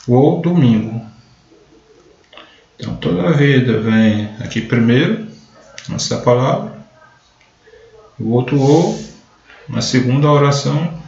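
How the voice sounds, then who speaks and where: A slow-spoken man with a gravelly edge teaches logic, walking through each example step by step. His voice sounds dull and lo-fi, recorded in a roomy, echoing space. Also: medium pitch, darkish tone. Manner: slow, step-by-step, often starting with "então". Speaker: math teacher. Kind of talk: live online lesson explaining logic. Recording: echoey room, dull lo-fi sound.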